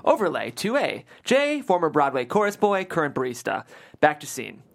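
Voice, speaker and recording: A woman's voice: sits low, huge pitch swings, normal speed, gravelly. A woman reading comedy screenplay stage directions as scripted narration, calling out captions like an announcer. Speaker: stage directions reader at a table read.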